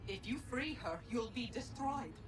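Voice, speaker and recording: A woman playing an ancient godlike being in a video game, speaking in a solemn, formal, measured and regretful way. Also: a little high, lively intonation, noisy background, close to an everyday voice. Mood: urgent, pleading. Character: ancient female godlike precursor, grave and regretful. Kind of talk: dramatic video game cutscene acting.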